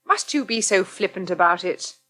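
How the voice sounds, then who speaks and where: An actress playing a concerned English wife in a radio soap, practical, patient and gently reproving. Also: female voice, medium pitch, expressive, normal speed. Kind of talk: British radio soap acting.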